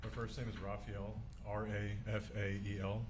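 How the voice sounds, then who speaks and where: A man's voice: lowish voice, level tone, normal speed. A man in his thirties, a police corporal, giving short, plain, polite answers in court. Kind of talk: courtroom testimony. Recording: courtroom mic with audible hiss.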